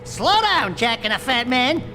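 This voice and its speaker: An actor voicing a small furry animal sidekick in a video game, wisecracking and cowardly, with a whiny, brash comic delivery. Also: male voice, very high voice, big pitch swings, measured pace, full character voice. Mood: alarmed, whiny. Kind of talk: video game character acting. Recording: music behind the voice.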